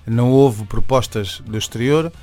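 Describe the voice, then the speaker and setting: A man's voice: medium pitch, moderate pace, mellow, soft background music. A man from Venezuela, a native Spanish speaker, chatting casually as an interview guest, hesitating and repeating words. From born in Venezuela, in Portugal from age ten.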